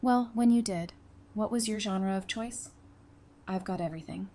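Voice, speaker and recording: A woman's voice: medium pitch, normal speed, mellow, natural voice. A woman playing a witty, hardened apocalypse survivor in a fan audio drama, with a teasing, conversational delivery. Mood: playful. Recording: clear background noise.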